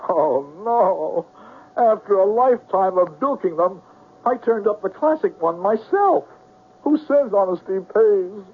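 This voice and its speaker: An actor playing a wordy, rueful swindler in a 1970s radio drama, florid and self-pitying. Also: male voice, sits high, animated, a little slow, breathy edge, heavily acted. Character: wordy, rueful male con man under arrest. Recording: muffled, phone-like old radio recording.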